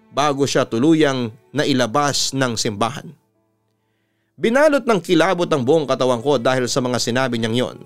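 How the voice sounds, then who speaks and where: A man hosts a storytelling program with a smooth, resonant voice. He reads listeners' letters earnestly and with drama, like a practised radio announcer. Soft music plays beneath him. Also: mid-range voice. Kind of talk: dramatic letter reading and heartfelt narration. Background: music under the voice.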